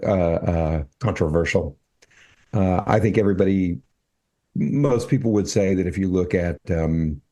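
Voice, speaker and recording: An older man co-hosting a casual talk show, speaking haltingly with many fillers and restarts. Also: low voice, dark tone. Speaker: podcast co-host. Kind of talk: casual chat.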